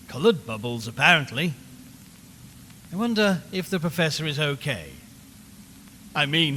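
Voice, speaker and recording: An actor playing an unnerved radio reporter in an audio horror drama, rambling and repeating himself with forced cheer as he slowly loses his nerve. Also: fairly high pitch, bright, forceful tone. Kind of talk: audio horror drama, live broadcast monologue. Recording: long hollow reverb, clear background noise.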